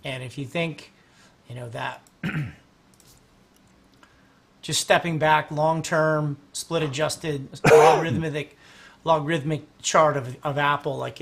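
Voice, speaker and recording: A man hosting a livestream, walking through stock charts in casual, rambling talk while losing his voice. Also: mid-range voice. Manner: rambling, restarts, clears throat often. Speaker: host and market analyst.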